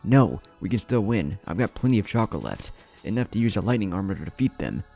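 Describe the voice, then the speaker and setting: A headstrong, defiant teenage ninja boy, acted out by a male narrator in an adventure story. He talks tersely and insistently, in a deep voice with a gravelly edge. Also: very animated, a little fast, a little mellow, soft background music, heavily acted. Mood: defiant, urgent.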